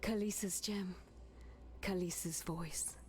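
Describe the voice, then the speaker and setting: An actress voicing a lovelorn, obsessed female sea monster in a slow, theatrical video game monologue that slips into whispers. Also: on the low side, steady tone, darkish tone. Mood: wistful, entranced.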